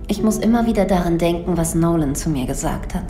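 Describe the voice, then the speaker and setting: A woman's voice: fairly low pitch, expressive, fast, dark tone, soft background music, natural voice. An actress playing a guarded, distrustful woman in a sci-fi audio drama, cold and weary. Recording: echoey room.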